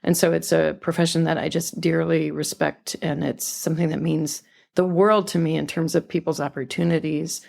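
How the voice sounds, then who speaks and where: A woman's voice: deep voice, flat delivery, moderate pace, gravelly, a little mellow. An older woman from California, a podcast guest talking earnestly and reflectively about her life. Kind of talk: conversational interview.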